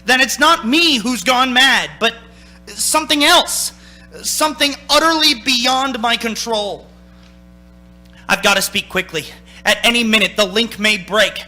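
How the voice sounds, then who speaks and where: A man's voice: very high-pitched, measured pace, sharp tone, faint music behind, full character voice. An actor playing a haunted man of thirty-six who tells his story in dramatic old-time radio style. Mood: desperate, urgent. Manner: urgent, dramatic first-person narrating.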